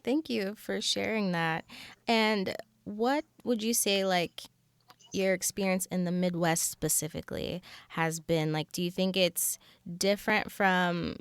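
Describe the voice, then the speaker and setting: A woman's voice: mid-range voice, fairly even intonation, a little slow, clean tone, no room sound. A woman hosting a podcast, talking in a conversational style. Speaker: podcast host.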